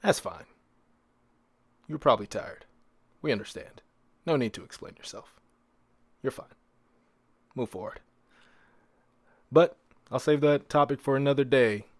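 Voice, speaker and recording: A man speaks as a solo podcast host in a medium-pitched voice. His delivery is casual and conversational, with repeated phrases and rhetorical questions for emphasis. The sound is dry, with very little room around the voice. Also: a little slow, pauses often, no room sound.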